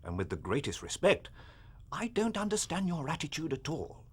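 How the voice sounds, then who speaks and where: Dogged Victorian policeman, English accent, husky, animated pitch, pressing, radio drama. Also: moderate pace, full character voice. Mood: politely insistent. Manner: firm, pressing, impatient. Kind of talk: period radio drama dialogue.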